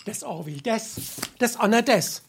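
A man playing a grumbling, henpecked family father in a Darmstadt Hessian dialect tavern comedy, exasperated and husky. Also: high-pitched, expressive, a little slow, a little hiss or hum, full character voice. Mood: exasperated ranting. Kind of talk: dialect stage comedy acting.

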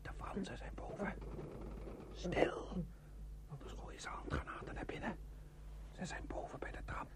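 An actor in an old radio drama playing a tense soldier, urgent and hushed, keeping his comrade quiet. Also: sits high, huge pitch swings, normal speed, pauses often, in a whisper. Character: tense soldier hushing a wounded young comrade.

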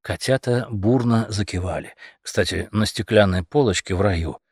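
A man narrating a satirical fairy tale as an expressive audiobook storyteller. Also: fairly low pitch, normal speed, slightly rough, dry recording, studio quality.

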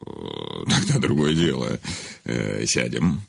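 A celebrated novelist in an audio drama, haughty and brusque. His voice is low and rough-edged, recorded close and dry. He speaks curtly and imperiously, pausing on hums as if granting an audience. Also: animated, no echo, full character voice. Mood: condescending. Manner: imperious, curt, halting with hums. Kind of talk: audio play acting.

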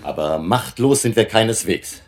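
An actor playing a grave, learned vampire hunter who lectures his allies deliberately and emphatically in a German radio drama. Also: lowish voice, expressive, a little hiss or hum, lightly acted. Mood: grave, resolute.

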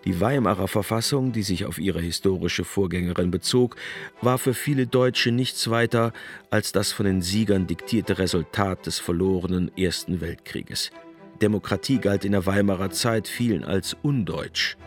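Male documentary narrator, low pitch, measured explanatory read over music. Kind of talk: scripted narration.

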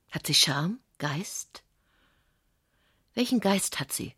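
A wounded, tipsy society lady in a radio play. The voice is breathy and rather low, with lively swings in pitch, often falling to a half-whisper. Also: extremely slow, no room sound, clean studio sound, heavily acted. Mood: wounded, probing. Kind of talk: radio drama acting.

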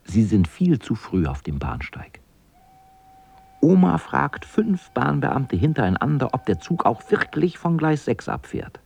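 Gravelly male storyteller, expressive. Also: mid-range voice, a little slow, slight background noise. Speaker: radio drama narrator. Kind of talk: scripted storytelling narration.

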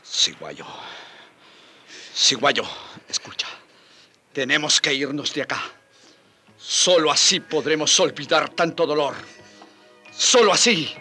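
A man's voice: on the high side, lively intonation, takes it slowly, long pauses, husky, full character voice. A man playing a compassionate colonial friar in a radio drama, earnest and consoling, indignant at cruelty. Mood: consoling, urgent. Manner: earnest, hushed consolation rising to urgency. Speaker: actor. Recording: radio drama with background music.

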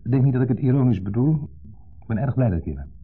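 A man's voice: mid-range voice, animated, quick pace, gravelly. An actor in a 1970s radio play voicing an insecure, needling man with a Netherlands Dutch accent, who speaks theatrically and dwells pointedly on his words. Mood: wistful, restrained. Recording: muffled, band-limited 1970s radio recording.

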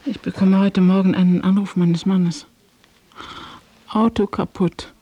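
An actress playing a stranded, demanding married woman traveller in a radio play, speaking in deliberate, imperious short phrases. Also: mid-range voice, fairly even intonation, dark tone, breathy edge. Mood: plaintive. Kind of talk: German radio play acting.

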